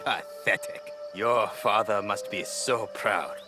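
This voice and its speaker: A man's voice: lowish voice, slow. A man playing a cruel, mocking villain in a video game cutscene, with a theatrical sneer. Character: cruel mocking man taunting a pirate's daughter. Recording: loud music behind the voice.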